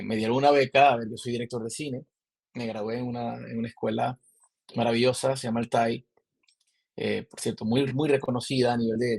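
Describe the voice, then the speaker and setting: A man's voice: mid-range voice, vocal fry, darkish tone, breathy edge. A man in his thirties with a Maracaibo Venezuelan accent, a film director telling his story as a podcast guest, rambling with many restarts and fillers. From Zulia, Venezuela. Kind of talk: casual interview storytelling. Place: remote call from abroad.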